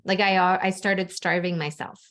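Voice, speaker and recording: A woman's voice: lowish voice, fairly even intonation, moderate pace, fairly bright tone. A young adult woman from the Bahamas, a spiritual coach, speaking openly and earnestly in an interview, with frequent "like" fillers. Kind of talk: candid interview conversation.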